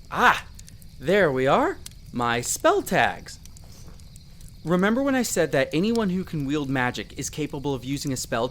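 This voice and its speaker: A performer voicing a young male elf explorer in a roleplay audio drama, patiently and gently coaching the listener as a magic teacher. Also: mid-range voice, a little hiss or hum. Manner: gentle, reassuring, coaching step by step.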